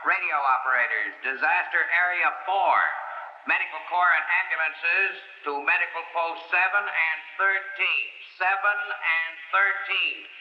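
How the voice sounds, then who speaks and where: An actor playing a brisk male civil defense dispatcher giving official orders and repeating them clearly. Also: a little high, close to an everyday voice. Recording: echoey, muffled old radio broadcast.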